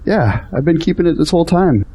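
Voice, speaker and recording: Man acting devout hopeful son, audio drama, husky, mellow dark tone, earnest delivery. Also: a little high, animated, a little fast, poor audio. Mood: earnest, marvelling.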